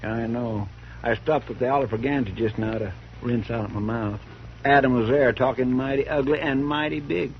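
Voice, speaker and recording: An actor playing an earnest, loyal deputy in a 1950s radio drama, with a respectful folksy drawl. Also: male voice, medium pitch, steady tone, moderate pace, lightly acted. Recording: dull old radio broadcast with faint hiss.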